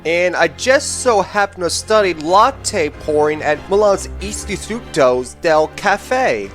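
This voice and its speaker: Male actor for a cartoon schemer: slow, measured, smugly polite. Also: high voice, animated, a bit bright, soft background music, exaggerated voice. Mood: smug formal. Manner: overly formal, smug, measured. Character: scheming, smug adult male secret agent posing as barista. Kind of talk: fan comic dub voice acting.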